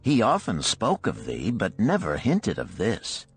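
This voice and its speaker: A man's voice: fairly low pitch, huge pitch swings, unhurried, voice pushed up. A man voicing a cynical, spoiled young nobleman who speaks emphatically in formal, archaic language, in an audiobook. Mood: amazed, curious.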